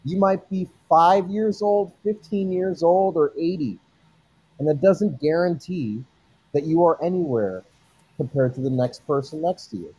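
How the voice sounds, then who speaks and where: A man's voice: fairly high pitch, unhurried, dark tone, breathy edge, no room sound, poor audio. A man speaking as a guest, giving an earnest, encouraging motivational message. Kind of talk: motivational speech.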